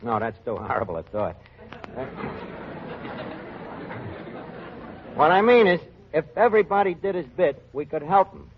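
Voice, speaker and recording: An actor playing an earnest working-class tavern manager with streetwise, ungrammatical talk in a 1940s radio show. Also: male voice, a little high, big pitch swings, fairly quick, slight background noise. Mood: grave, urging. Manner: colloquial, streetwise, uneducated grammar. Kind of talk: 1940s radio comedy-drama acting. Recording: muffled vintage radio recording.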